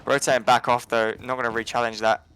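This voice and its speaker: Fast-talking English esports caster. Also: male voice, mid-range voice, slight background noise. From England. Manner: rapid, steady play-by-play calling. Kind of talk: live game commentary.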